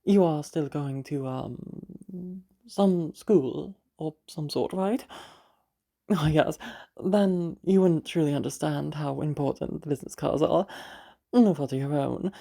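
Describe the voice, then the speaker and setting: Woman playing a haughty mother, creaky and falsely gracious. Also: sits low, slow pace, dark tone, full character voice. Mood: condescending, falsely polite. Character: condescending rich mother, polite, passive-aggressive businesswoman. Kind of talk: audio roleplay drama.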